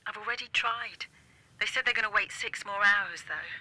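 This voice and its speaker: Earnest mum. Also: female voice, medium pitch, moderate pace, sharp tone, poor audio. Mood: urgent, worried. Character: Alice, earnest, protective Essex mother. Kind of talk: naturalistic audio drama acting.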